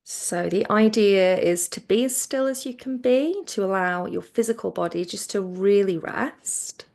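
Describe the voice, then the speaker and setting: A woman leads a guided meditation at a very unhurried pace. Her mid-pitched voice has a strong creak to it. She gives gentle, soothing instructions, letting the ends of phrases soften and fade. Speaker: yoga nidra relaxation teacher.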